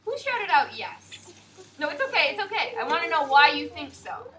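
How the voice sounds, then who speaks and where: A young woman teacher reading a picture book aloud to a class in an expressive, sing-song way and asking questions. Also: high voice, moderate pace, distant mic.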